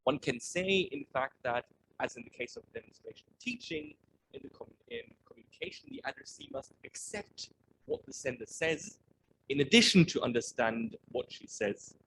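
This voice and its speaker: Male conference moderator, medium pitch, reads submitted questions, faint room noise. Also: expressive, moderate pace, fairly bright tone, poor audio. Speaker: moderator reading audience questions. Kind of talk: reading questions aloud at an academic talk.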